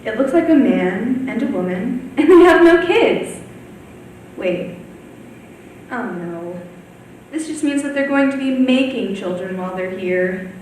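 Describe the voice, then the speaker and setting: A woman's voice: fairly high pitch, animated, a little slow, pauses often, a little mellow. A teenage girl playing a lonely, gleefully morbid ghost in a theatrical monologue. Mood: bored, scheming. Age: teens. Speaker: high school student performer. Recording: very echoey room, distant mic, faint noise.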